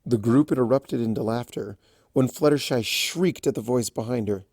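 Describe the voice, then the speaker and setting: A man's voice: medium pitch, moderate pace, darkish tone, no echo, clean studio sound. A man giving a scripted narration of a story as a fan fiction reader.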